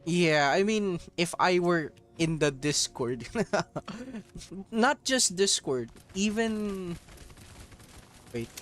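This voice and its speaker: A man's voice: high-pitched, animated, extremely slow, fairly bright tone. A man from Southeast Asia giving rambling, casual gameplay commentary, laughing often and swearing offhandedly. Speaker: mobile game streamer.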